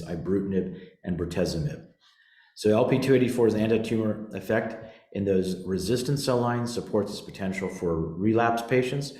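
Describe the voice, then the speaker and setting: A man's voice: deep voice, a little mellow. A man speaking as a company executive, giving a semi-scripted corporate presentation on a conference call. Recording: roomy, echoing conference call audio.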